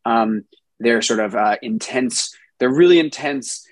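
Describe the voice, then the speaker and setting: A man from New Jersey, a software engineer answering interview questions in a relaxed way, with many ums and restarts. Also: mid-range voice, unhurried, dry recording. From New Jersey, USA.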